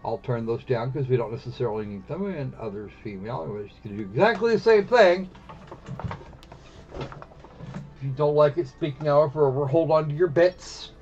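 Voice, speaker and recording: A man hosting a casual game live stream, talking in a rambling, halting way and repeating himself as he goes. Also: mid-range voice, expressive, darkish tone. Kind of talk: casual live-stream commentary while setting up a visual novel. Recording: dull lo-fi mic with music behind. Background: background music.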